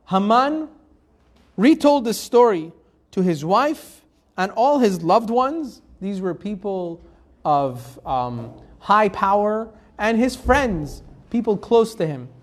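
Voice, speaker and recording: A rabbi teaching a live Torah class. He reads Hebrew verses, translates them phrase by phrase, and asks the class rhetorical questions in a loose, conversational way. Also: high voice. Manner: conversational, with restarts and fillers.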